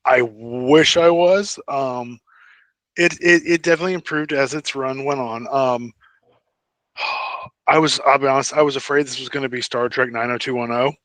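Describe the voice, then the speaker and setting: A man co-hosting a podcast in casual fan chat, thinking aloud in a halting way. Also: mid-range voice, fairly even intonation, a bit bright, dry recording. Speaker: podcast co-host.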